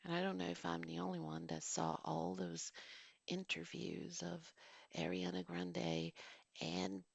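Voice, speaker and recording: A woman's voice: lowish voice, little pitch movement, unhurried, gravelly, no room sound. A woman in her forties, a vlogger and teacher, reads a written movie review and drifts off into casual, digressive asides. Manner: digressive, reads formal sentences then drifts into casual remarks. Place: home.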